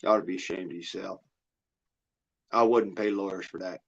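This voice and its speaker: A man's voice: low voice, fairly even intonation, moderate pace, vocal fry. An American man talking in a rambling, casual monologue, blunt, folksy and freely swearing. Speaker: livestream host. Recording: dull, lo-fi audio.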